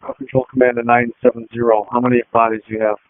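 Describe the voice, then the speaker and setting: A man's voice: mid-range voice, completely flat delivery, fast, clear, resonant tone. A man working as a police officer gives clipped procedural orders and replies over a two-way radio. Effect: two-way radio transmission. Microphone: two-way radio handset. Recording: tinny narrowband police radio.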